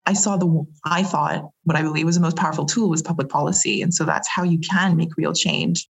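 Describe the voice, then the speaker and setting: A woman recounting her career in a candid interview, lively and quick to laugh, often restarting her sentences. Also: deep voice, vocal fry, breathy edge. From grew up around Asia. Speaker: interview guest.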